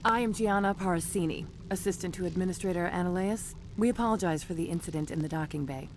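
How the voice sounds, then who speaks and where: An actress playing a composed, officious corporate assistant in a video game, formal and guarded. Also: female voice, on the low side, fast talker, background noise, lightly acted. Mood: polite, formal.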